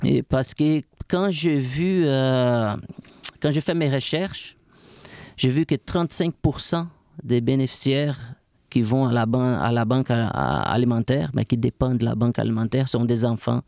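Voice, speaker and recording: A middle-aged man with a Brazilian accent and a gravelly, darker voice talks in a casual interview. He often stops to restart words while he searches for phrasing. The sound is close and dry, with no room echo. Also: medium pitch, expressive, moderate pace, darkish tone. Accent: Brazilian Portuguese. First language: Portuguese. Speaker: strength and conditioning coach.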